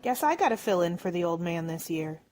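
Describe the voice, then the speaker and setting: An actress playing a feisty village wife in a radio play. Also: female voice, lowish voice, sing-song, brisk, darkish tone. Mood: resigned. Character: feisty village wife standing in for her husband. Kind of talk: radio drama acting.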